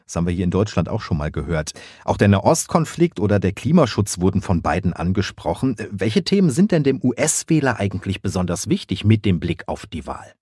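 Low husky male interviewer. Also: big pitch swings, fairly quick, darkish tone, dry recording, clean studio sound. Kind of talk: news interview questions.

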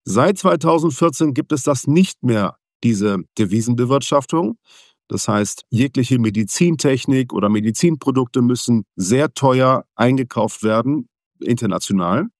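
Man raised in Venezuela, businessman guest, measured explaining, dry close sound. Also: medium pitch, animated, no echo. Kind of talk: interview answers.

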